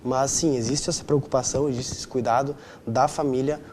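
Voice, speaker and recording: Man in his twenties, southern Brazilian accent, mid-pitched voice, detailed conversational interview answers, slight background noise. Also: fairly even intonation, brisk, a little mellow. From Rio Grande do Sul, southern Brazil. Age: 20s. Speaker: interview guest.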